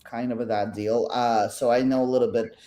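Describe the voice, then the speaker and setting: A middle-aged woman from Belarus with a Russian Brooklyn accent and Russian as her first language, speaking as the artist guest in a casual interview, halting and full of restarts and fillers. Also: rumbling low, steady tone, mellow, a little hiss or hum.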